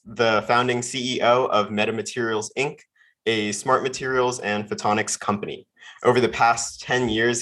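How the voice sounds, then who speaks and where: A man's voice: mid-range voice, fairly bright tone. A man hosting a podcast, reading a guest introduction in an upbeat scripted way. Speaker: podcast host.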